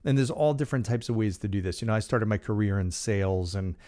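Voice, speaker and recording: A man in his forties hosting a solo leadership podcast in a small treated studio, talking casually with jokes and lively gestures. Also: on the low side, fast talker. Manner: casual, joking, animated with hand gestures. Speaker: podcast host. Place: small studio with dark acoustic panels. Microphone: large studio condenser mic in front.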